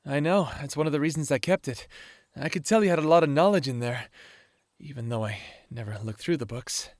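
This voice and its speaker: A man playing an easygoing male werewolf in an audio drama, low-key and matter-of-fact in his speech. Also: fairly quick, darkish tone, no room sound, clean studio sound, natural voice. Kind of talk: audio roleplay acting.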